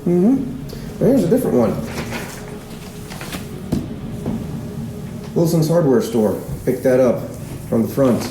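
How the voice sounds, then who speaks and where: Man acting as stern lawman, gravelly, deliberate interrogator, suspicious, audio drama, roomy sound, music behind. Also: mid-range voice, background noise, close to an everyday voice. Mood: probing. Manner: deliberate interrogation with pointed questions and pauses. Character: stern small-town lawman, probing and suspicious. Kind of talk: fiction podcast drama acting. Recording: roomy echo with music underneath.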